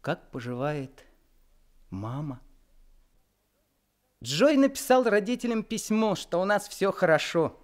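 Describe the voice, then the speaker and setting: A man's voice: high-pitched, big pitch swings, slow, pauses often, full character voice. A wistful young man. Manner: reflective, wistful storytelling. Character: young man recalling his youth. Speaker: narrator. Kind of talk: 1970s Soviet radio play narration.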